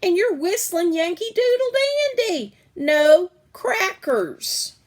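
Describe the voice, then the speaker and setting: A woman reading as an excitable, anxious young schoolgirl in a children's storybook, with a blurting manner. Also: very high voice, slow pace, voice pushed up, heavily acted. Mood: bossy, urgent. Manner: blurting, stammering when flustered.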